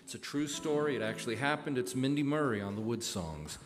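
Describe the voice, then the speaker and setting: A man's voice: medium pitch, animated, fairly quick. A man hosting a live radio show on stage, talking in an earnest, emphatic, folksy way. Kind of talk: live on-stage interview and introduction. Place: on stage in a theater. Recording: live theater hall with audience.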